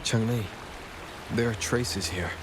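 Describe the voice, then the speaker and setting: A man's voice: medium pitch, slow, lightly acted. A man voice-acting a terse, observant young traveler in a video game, speaking matter-of-factly. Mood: focused. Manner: brief, matter-of-fact. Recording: noisy ambience behind voice.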